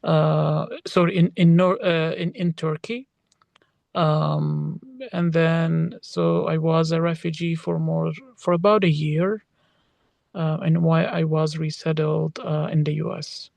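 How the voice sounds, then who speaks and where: Slow, gravelly-voiced man from Iraq. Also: fairly high pitch, level tone, darkish tone, no echo. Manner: hesitant, many fillers and restarts. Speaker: interviewee, PhD student in social work. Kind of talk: casual interview answers.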